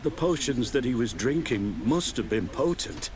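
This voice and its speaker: A man's voice: mid-range voice, very animated, normal speed, lightly acted. An actor playing an anxious, evasive guard in a video game, stammering and deferential as he reports to his superiors. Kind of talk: video game dialogue acting.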